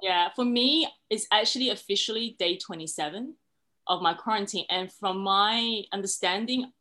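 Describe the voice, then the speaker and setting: Woman in her 30s, podcast guest, bright clear tone, open and chatty. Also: mid-range voice, moderate pace. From China, raised in Australia. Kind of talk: casual podcast conversation.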